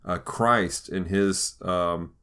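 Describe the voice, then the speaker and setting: A man hosting a conversational podcast, talking through ideas in a thoughtful, halting way with frequent restarts. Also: deep voice, fairly even intonation, a bit bright, smooth. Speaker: podcast host. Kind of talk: conversational podcast discussion of faith and pop culture.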